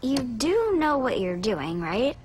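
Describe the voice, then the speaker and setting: A woman's voice: a little high, very animated, slow, a little mellow, a little hiss or hum. A woman playing an earnest, perky young female companion in an English-dubbed video game cutscene. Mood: gentle.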